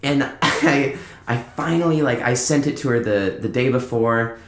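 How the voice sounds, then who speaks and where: A man's voice: mid-range voice, measured pace. A man talking casually as a musician guest on a podcast, rambling through anecdotes and acting out bits of conversation. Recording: roomy, echoing indoor sound.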